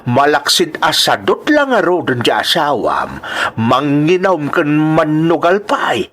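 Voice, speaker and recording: A man playing a concerned, weary old father in an audio drama, lamenting and admonishing with drawn-out sighs. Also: fairly high pitch, very animated, fairly bright tone, heavily acted. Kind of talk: Ilocano audio drama acting.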